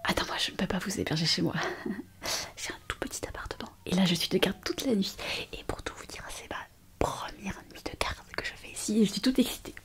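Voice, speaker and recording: A woman plays a chatty, eager young medical intern in a whispered ASMR roleplay. Her breathy voice moves up and down in a lively way at a medium pitch. She is kind, attentive and gently reassuring, with small hesitations. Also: fairly quick, close to an everyday voice. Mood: excited, chatty.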